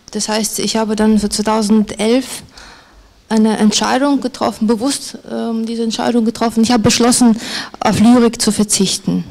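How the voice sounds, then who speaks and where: A woman's voice: mid-range voice, creaky, dark tone. A woman in her thirties, an author from the former Soviet Union, speaking in German on a stage and reading from her book, hesitant with many fillers and restarts, into a handheld mic. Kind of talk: stage interview and reading aloud. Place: literary event on a stage, indoors.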